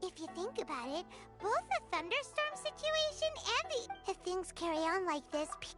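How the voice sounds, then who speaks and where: Very high, squeaky childlike fairy companion. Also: female voice, big pitch swings, normal speed, over loud music. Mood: earnest. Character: small childlike female floating companion, excitable and curious. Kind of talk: video game voice acting.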